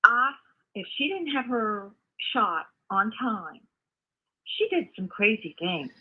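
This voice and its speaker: Older woman caller, tinny phone audio, slow pace, halting, earnest, repetitive, casual opinion. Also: medium pitch, big pitch swings, slightly rough. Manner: halting, earnest, repeats herself. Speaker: caller, mother of the host. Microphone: phone call. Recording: muffled, narrow phone call audio.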